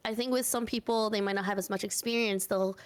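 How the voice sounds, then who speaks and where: A woman's voice: medium pitch, steady tone, quick pace, clear, resonant tone, faint music behind. A young woman, a streamer guest, talking thoughtfully and supportively in a casual chat. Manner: thoughtful, supportive, many fillers.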